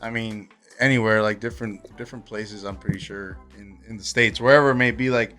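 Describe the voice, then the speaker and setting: A man's voice: on the low side, fairly even intonation, a little fast, bright, forceful tone, faint music behind. A man chatting casually and slangily on a podcast, rambling through his thoughts. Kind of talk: casual chat.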